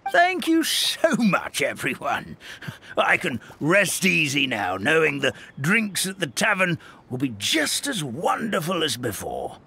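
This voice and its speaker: An excitable, fretful old grandpa. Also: male voice, fairly high pitch, a little slow, gravelly, slightly rough, exaggerated voice. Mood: relieved, grateful. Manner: theatrical, fussy, quaint exclamations. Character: kindly, fretful, excitable old grandpa of a paper fairytale world. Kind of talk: animated video game voice acting.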